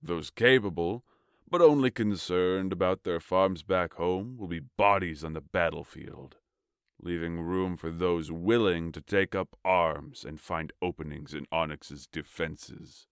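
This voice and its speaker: A man playing a large, ruthless warrior king in a fantasy audio story, speaking in a measured, commanding, formal way. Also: sits low, clear, resonant tone, dry recording, studio quality. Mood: cold, resolute. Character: large, tall warlord king, cold, commanding, ruthless strategist. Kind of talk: audio fiction acting.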